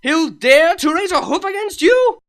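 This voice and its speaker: A woman's voice: extremely high pitch, lively intonation, moderate pace, pitched above the performer's own voice. A woman voicing a childish, boastful young princess. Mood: excited.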